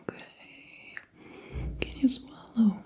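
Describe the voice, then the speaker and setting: A woman whispers slowly and breathily, playing a doctor in an ASMR exam. Her delivery is gentle, with simple instructions that she often repeats. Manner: gentle, slow, repetitive instructing. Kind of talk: ASMR medical exam roleplay.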